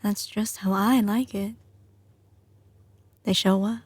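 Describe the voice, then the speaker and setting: A woman's voice: mid-range voice, steady tone, slow, breathy edge, no echo. A woman with a slight Southern US drawl playing a doting but ruthless mafia boss girlfriend in an intimate ASMR roleplay. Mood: intimate, musing. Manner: drawling, teasing, sliding between commanding and tender. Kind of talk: ASMR audio roleplay, intimate scripted acting.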